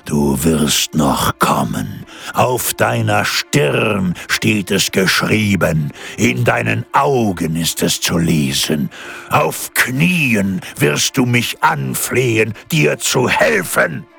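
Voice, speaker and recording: A man voicing a giant, menacing male forest spirit who talks slowly in a deep, rumbling voice. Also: sing-song, vocal fry, fairly bright tone, heavily acted. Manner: deep, rumbling, slow and threatening.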